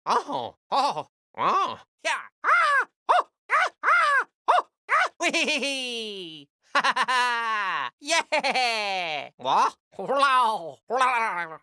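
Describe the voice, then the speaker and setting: High bright cartoon plumber hero, excitable and good natured, short whoops for a video game. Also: male voice, very slow, few pauses, dry recording, clean studio sound, full character voice. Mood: excited exertion. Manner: exaggerated whoops and short exclamatory bursts.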